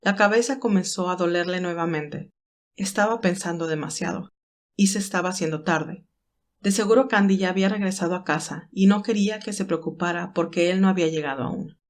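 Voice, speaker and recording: Woman narrator reading a dramatic love story, scripted, lowish-to-mid voice. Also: fairly quick.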